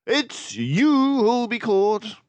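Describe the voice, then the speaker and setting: Storybook mouse sleuth, male reader acting: gentlemanly, formal, pitched well up, slow declamatory delivery. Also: big pitch swings, smooth, no echo, exaggerated voice. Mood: stern, calm. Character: stern, calm, gentlemanly male mouse detective hero.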